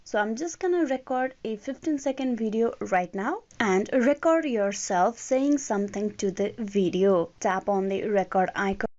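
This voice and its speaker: Female tutorial host, medium pitch, step-by-step instructional narration, dull lo-fi sound. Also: normal speed, hardly any pauses, darkish tone. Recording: muffled lo-fi audio.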